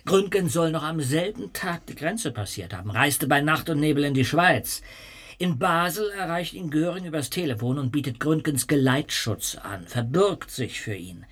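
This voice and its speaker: Chatty dinner guest, bit husky, telling rumors. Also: male voice, a little high, lively intonation, moderate pace, fairly bright tone. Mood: gossipy. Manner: discursive, gossip-telling. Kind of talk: radio play acting.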